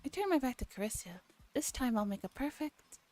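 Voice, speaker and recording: A woman streamer reading a visual novel aloud in a soft ASMR style, drifting between quiet speech and whispering. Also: sits high, big pitch swings, fairly quick, soft background music.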